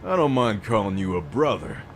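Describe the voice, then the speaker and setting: An actor playing a brash, hot-headed gang boss in a video game dub, with slangy, swaggering tough talk. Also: male voice, lowish voice, very animated, moderate pace, slight background noise. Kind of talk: English-dub video game cutscene acting. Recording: music behind the voice.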